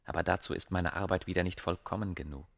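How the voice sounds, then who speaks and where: A man playing a proud, secretive scholar of ancient Egypt in a radio play, speaking in a measured, formal way. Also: low-pitched, fairly even intonation, creaky, a bit bright, heavily acted. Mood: brooding, ambitious.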